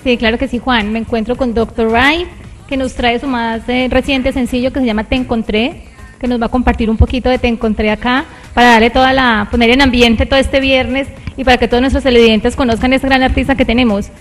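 Female TV co-host, thirties, fairly high voice, reverberant set, music behind. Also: fairly even intonation, brisk. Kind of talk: conversational guest introduction. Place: small TV studio set. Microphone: handheld microphone.

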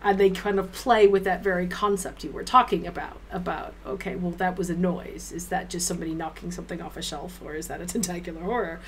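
A middle-aged woman interviewing casually as a co-host, talking in an animated, effusive way. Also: fairly low pitch, fast, few pauses, a little hiss or hum. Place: small room at home, indoors.

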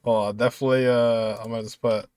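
A young man giving casual, excited reaction commentary with exclamations and slang. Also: medium pitch, quick pace, clear, resonant tone, no echo. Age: young adult. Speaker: game reaction video host.

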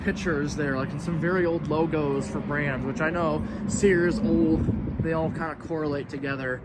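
Young guy rambling as he walks around, kinda high voice, wind and outdoor noise on the camera mic. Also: steady tone, moderate pace. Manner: chatty, rambling asides. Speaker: urban explorer vlogger. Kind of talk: casual walking commentary. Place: outdoors by an empty store building.

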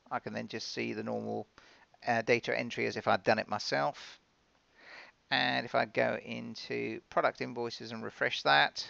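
Male software presenter, very creaky yet bright voice, informal and explanatory, dull lo-fi recording. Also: medium pitch, dry recording. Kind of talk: conversational software demo narration.

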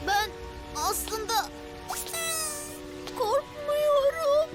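A woman's voice: very high voice, expressive, very slow, heavily acted. A woman playing a nervous, boastful young boy hero in a Turkish-dubbed action cartoon, full of jittery bravado. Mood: scared. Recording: loud music over the voice.

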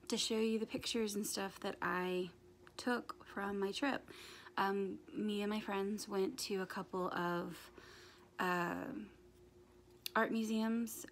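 A young woman in her twenties with a raspy, hoarse voice, chatting casually to a handheld phone camera in a small bedroom, with lots of ums and restarts. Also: mid-range voice, slight background noise. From United States. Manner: rambling, many ums and restarts. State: hoarse from screaming at a concert. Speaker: vlogger. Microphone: phone camera held at arm's length.

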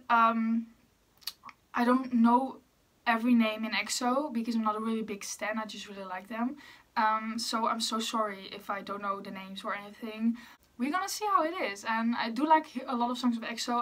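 A woman's voice: a little high, a bit bright, recorded from a distance. A young woman from the Netherlands with a Dutch accent, chatting casually and a bit apologetically as she reacts to a music video in her bedroom. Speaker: YouTuber. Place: bedroom with posters.

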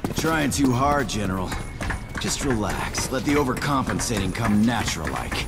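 A man's voice: on the low side, sing-song, moderate pace, over loud music. A man playing a wry, needling mercenary in a video game, dry and sarcastic. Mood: mocking. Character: wry, laid-back, needling male mercenary.

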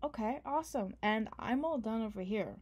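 A woman's voice: fairly high pitch, expressive, moderate pace, a little mellow, breathy edge. A young woman doing an ASMR roleplay as a partner, playful, affectionate and teasing. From Canada. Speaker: ASMR performer.

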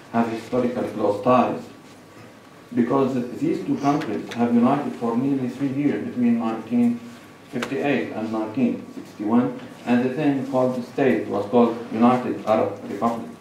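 A middle-aged man with a Lebanese accent giving a measured academic presentation at a lectern, pausing between listed points. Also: mid-range voice, fairly even intonation, a little mellow, a little hiss or hum. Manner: measured, lists points with pauses. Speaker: conference speaker. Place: large lecture hall. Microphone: lectern microphone, far camera. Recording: echoey hall recorded from far off.

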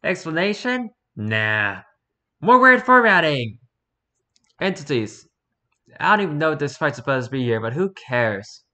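A man's voice: on the high side, very animated, unhurried, bright, forceful tone. A man reading aloud casually with wry offhand asides, sometimes stumbling over the words as he goes. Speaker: streamer reading and reacting to wiki pages.

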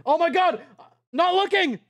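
Young male streamer, high and lively, casual and profane, talking to chat over a truck game, dry close mic. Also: a little slow, no echo. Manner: excitable, swears often, yelps and laughs; addresses chat directly. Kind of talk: casual live-stream chatter while playing a truck driving game.

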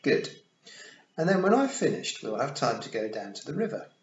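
A man's voice: mid-range voice, big pitch swings, moderate pace, creaky, voice pushed up. A man voicing a severe young girl in an audiobook, firm and clipped like a bossy, parental big sister. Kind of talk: audiobook character voice. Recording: muffled, roomy recording.

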